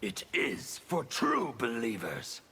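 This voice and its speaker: An actor playing a fanatical, bitter anarchist warlord in a video game, with a gravelly voice and a theatrical, sneering delivery. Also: male voice, mid-range voice, huge pitch swings, takes it slowly, very noisy, heavily acted. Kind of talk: video game villain dialogue.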